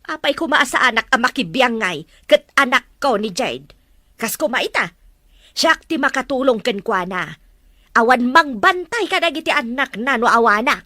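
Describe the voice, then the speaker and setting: Woman acting scolding mother, bright dry voice. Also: a little high, animated, normal speed, no room sound. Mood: insistent. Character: protective, disapproving mother of a married daughter. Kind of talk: Ilocano radio-style drama acting.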